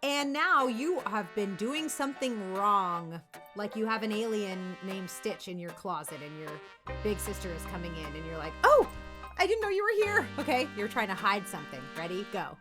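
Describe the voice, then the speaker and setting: A woman coaches in an informal, expressive tutorial style at a medium pitch. She speaks directly to her listeners and encourages them, with some music behind her voice. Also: normal speed. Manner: encouraging, expressive, addresses children and parents directly. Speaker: voice acting coach. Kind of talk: informal tutorial talk to camera.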